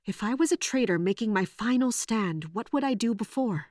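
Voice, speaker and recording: A woman's voice: on the high side, expressive, moderate pace, darkish tone, no room sound, studio quality, close to an everyday voice. A woman playing a guarded, resolute captain in an audio drama, terse, grave and introspective as she narrates and speaks. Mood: brooding resolve. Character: guarded female royal guard captain, self-blaming, resolute. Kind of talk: first-person dramatic narration and acted dialogue.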